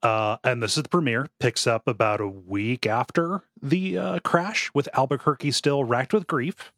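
A man co-hosting a podcast in casual chat, recapping with quick asides. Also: medium pitch, no room sound, studio quality. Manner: lively, laughs often, recaps plot. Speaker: podcast co-host.

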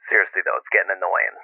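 A man's voice: deep voice, dry recording. A man playing a sarcastic, teasing boyfriend, rambling and swearing through a phone-filtered voicemail in an audio drama. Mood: sarcastic, needling. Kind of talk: casual voicemail rant, found-footage audio drama. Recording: thin, dry phone-line sound.

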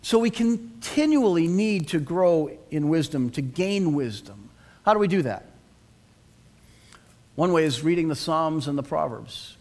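Middle-aged male pastor, earnest sermon delivery, slightly higher voice, engages the congregation. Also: animated, unhurried, a little mellow. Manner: earnest, asks the congregation to respond.

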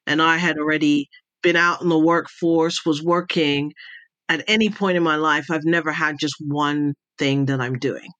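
A woman's voice: deep voice, steady tone, normal speed, fairly bright tone, no echo, dull audio. A middle-aged woman with a blended British and American accent, telling her story casually and chattily as a podcast guest, often drifting into asides. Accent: mixed British and American. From raised between the Middle East, Europe and the United States. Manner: chatty, digressive. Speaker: podcast guest, intelligence advisor and speaker.

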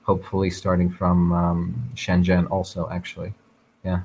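A man from Russia who speaks with an American accent, his voice sitting low. He talks casually and haltingly, with plenty of fillers and restarts. Faint noise hums under the recording. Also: monotone, darkish tone. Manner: hesitant, many fillers and restarts. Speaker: guest. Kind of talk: casual chat.

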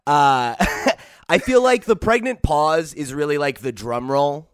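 A man's voice: fairly high pitch, animated, takes it slowly, smooth, dry recording, studio quality. A man hosting a video game podcast, upbeat and playful, chatting casually with lots of "you know" and "right". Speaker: podcast host.

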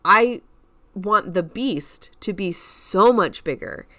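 A woman's voice: mid-range voice, lively intonation, takes it slowly, sharp tone, dry recording. A woman co-hosting a podcast, chatting casually and giving emphatic opinions in pointed statements. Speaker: podcast co-host.

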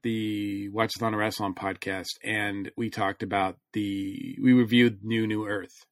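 A man with a fairly low voice, recorded close and dry. He speaks as a podcast panelist in a casual review discussion, working out his thoughts aloud in a rambling way. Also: level tone, a little slow, no echo.

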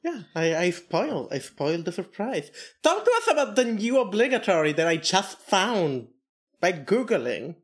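Brief: female podcast co-host, light Italian accent, lively lower voice, teasing banter. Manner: teasing, quick to laugh, swears casually.

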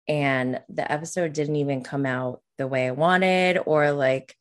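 A woman in her late thirties with a low voice and a slow pace. She talks like a casual podcast host, candid and self-deprecating, in informal everyday phrasing. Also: steady tone. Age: late 30s.

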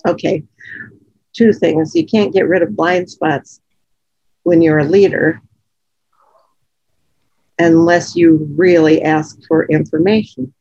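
A woman co-hosting a podcast, chatting frankly and laughing often, her voice low from allergies. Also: slow pace, pauses often, dark tone, breathy edge, no room sound, highs cut off. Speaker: podcast co-host. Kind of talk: casual conversational podcast.

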